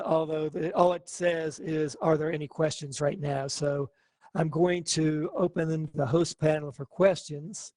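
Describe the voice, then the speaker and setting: Fairly high-voiced male coding teacher. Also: dry recording. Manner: relaxed, thinking aloud with fillers. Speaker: coding class instructor. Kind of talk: live online class.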